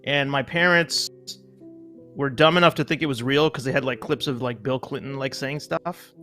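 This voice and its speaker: Man around 40, mid-pitched, easygoing storyteller, soft music behind. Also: normal speed. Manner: easygoing, anecdotal, laughs while telling. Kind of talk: casual group chat.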